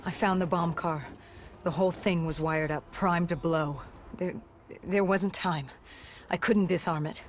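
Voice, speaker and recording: An actor playing an augmented soldier in a video game, haunted and resolute, recounting past missions in grave, measured storytelling. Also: medium pitch, steady tone, a little hiss or hum, close to an everyday voice. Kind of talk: dramatic game cutscene narration. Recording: music under the voice. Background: music.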